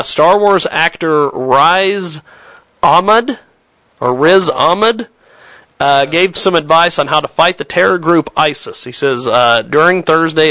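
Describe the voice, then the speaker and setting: A man's voice: fairly high pitch, sharp tone, no room sound, low-quality recording. A man hosting a talk show, reading a news item with many "uh"s and a sarcastic edge. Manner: sarcastic, with filler "uh"s. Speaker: host. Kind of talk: talk-radio news commentary.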